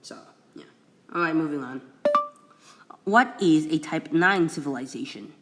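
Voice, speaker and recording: A man's voice: a little high, noisy background. A young man from Indonesia, an English speaker, casually explaining things he reads off a wiki, stumbling and restarting often. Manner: halting, many restarts and apologies. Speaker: video creator. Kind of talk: casual screen-recorded explainer reading from a wiki.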